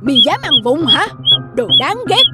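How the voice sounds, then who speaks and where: A woman voicing a greedy, short-tempered old wife in a children's fairy-tale cartoon, sharp and scolding. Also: sits high, sing-song, unhurried, over loud music.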